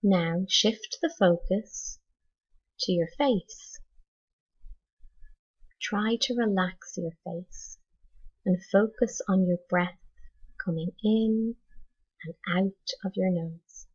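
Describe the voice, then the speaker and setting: Slow, slightly husky woman guiding meditation. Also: medium pitch, highs cut off. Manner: measured, instructional, with deliberate pauses. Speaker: teacher leading mindful meditation for students. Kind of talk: guided meditation, scripted.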